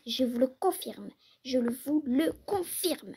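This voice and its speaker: A woman's voice: high voice, expressive, measured pace, dark tone, slightly rough, heavily acted. A girl playing a furious protective mother. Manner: indignant, threatening, repeats herself. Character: fiercely protective angry mother, woman. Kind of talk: improvised role-play skit.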